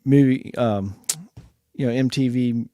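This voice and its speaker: A man's voice: mid-range voice, unhurried, warm tone, dry recording. A Southern American man with a middle-range voice hosts an interview in a music studio. He sounds easygoing and chuckles often. The sound is close and dry. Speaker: podcast host. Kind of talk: casual interview.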